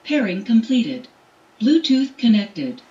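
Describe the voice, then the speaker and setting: A woman's voice: fairly high pitch, very animated, slow. A synthetic woman's voice giving short automated device announcements. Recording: dull playback through speaker, music behind.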